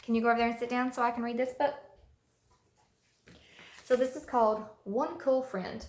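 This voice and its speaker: A woman's voice: a little high, steady tone, moderate pace, a little mellow. A woman preschool teacher talking and reading a storybook aloud to young children in simple language, at home. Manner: simple, child-directed explanations. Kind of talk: storybook read-aloud with casual chat to children. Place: indoors at home, echoey room. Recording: roomy echo, clear background noise.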